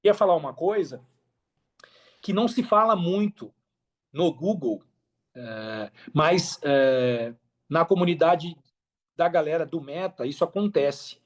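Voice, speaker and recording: A man's voice: a little high, a little slow, long pauses. A Brazilian man chatting casually as a podcast guest, speaking emphatically, repeating phrases and using plenty of slang. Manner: emphatic, repeats phrases, slangy, animated storytelling with acted-out quotes. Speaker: podcast guest, affiliate marketer.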